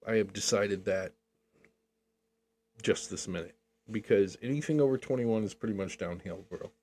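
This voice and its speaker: A man's voice: lowish voice, moderate pace, darkish tone. An older man co-hosting a podcast, chatting casually in a rambling, jokey way and laughing often. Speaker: podcast co-host. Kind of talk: casual chat.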